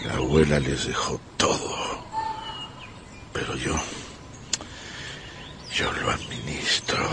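An actor playing an aging, lovesick general in a radio drama, anxious and pleading with a halting, dramatic delivery. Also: male voice, a bass voice, vocal fry, noisy background, exaggerated voice. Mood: pleading, self-important. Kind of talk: Spanish-language radio drama acting.

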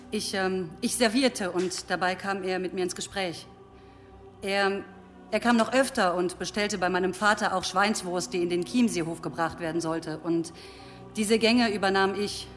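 A woman's voice: medium pitch, moderate pace, a bit bright, soft background music, lightly acted. An actress playing a frightened, humble innkeeper's daughter in a period radio drama, hesitant and deferential as she is questioned. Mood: meek, ashamed.

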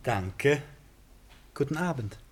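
A man's voice: medium pitch, animated, takes it slowly. An actor playing an eccentric, impulsive, obsessive man in a 1950s German radio drama, persuasive and theatrical. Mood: polite, smooth talking. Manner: theatrical, swinging from whispers to impassioned outbursts.

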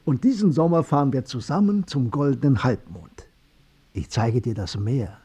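An actor playing a gentle, wise old man, an adoptive father, calm and kindly in a radio drama. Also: medium pitch, moderate pace, slightly rough. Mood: kindly, serene.

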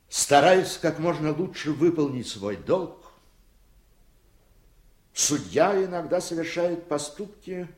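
An actor in a radio play playing a stern, easily indignant judge who speaks formally and with heavy emphasis. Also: male voice, medium pitch, breathy edge, full character voice. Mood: solemn, regretful.

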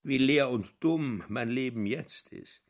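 An actor playing a bitter, accusing former asylum patient in a literary radio play. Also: male voice, medium pitch, takes it slowly, close to an everyday voice. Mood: resentful. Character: bitter cured male patient, accusing his doctor.

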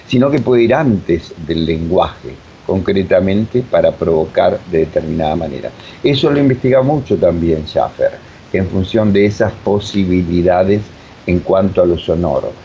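An Argentine man explaining as an interview guest, speaking haltingly and repeating words as he searches for phrasing. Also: deep voice, expressive. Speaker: interview guest, radio drama director. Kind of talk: spontaneous explanatory interview talk. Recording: dull lo-fi audio, clear noise.

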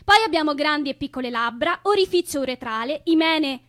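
A very high female voice playing an outspoken feminist activist in a theatre piece. She lectures emphatically and firmly, explaining things like a teacher who expects to be challenged. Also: expressive, brisk, a bit bright. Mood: instructive. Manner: assertive, didactic, emphatic. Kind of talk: theatrical stage-play acting.

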